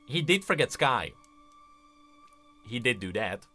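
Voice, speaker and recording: A man giving casual, conversational reaction commentary as a video host, with an animated, questioning manner. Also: medium pitch, slow, soft background music. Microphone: close studio-style microphone.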